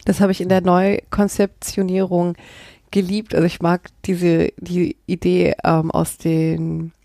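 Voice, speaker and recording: A woman's voice: fairly low pitch, level tone, measured pace, vocal fry, dark tone, no room sound, clean studio sound. A young woman from Hamburg chatting casually in a wry, teasing way. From Hamburg-Harburg, Germany. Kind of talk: casual chat.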